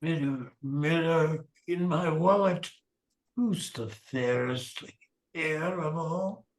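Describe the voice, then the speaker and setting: Older man as a very slow, vain rich kid. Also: on the high side. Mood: vain, self-pitying. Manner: pompous, self-absorbed. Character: vain, self-pitying rich young man. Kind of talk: radio play acting. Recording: echoey, roomy sound.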